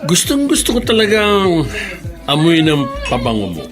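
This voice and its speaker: A flirtatious, easily irritated restaurant guest in a radio drama. His voice sits high for a man and swings dramatically as he teases and insists. Prominent music plays behind him. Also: wildly swooping pitch, moderate pace. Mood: flirtatious, teasing. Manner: teasing, insistent, exclamatory. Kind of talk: Filipino radio drama acting.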